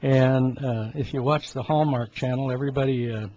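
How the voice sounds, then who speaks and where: A man in his seventies giving an informal health talk in a halting, rambling way, often clearing his throat. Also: mid-range voice, fairly even intonation, warm tone. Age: 70s. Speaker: health talk host, medical practitioner. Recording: dull lo-fi sound with background noise.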